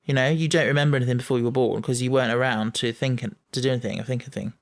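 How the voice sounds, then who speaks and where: A man's voice: mid-range voice, fairly even intonation, rapid-fire. A man co-hosting a casual podcast chat, thinking aloud with many restarts and stumbles. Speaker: podcast co-host.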